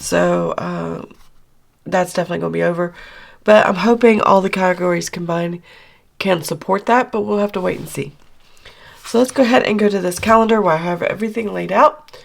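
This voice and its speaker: A woman with a low, gravelly voice that is still hoarse from losing it. She chats casually and rambles through her budget numbers, filling pauses with ums and uhs. She sounds middle-aged and relaxed. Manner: chatty, rambling with many fillers. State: hoarse, recovering from lost voice. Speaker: budgeting channel host.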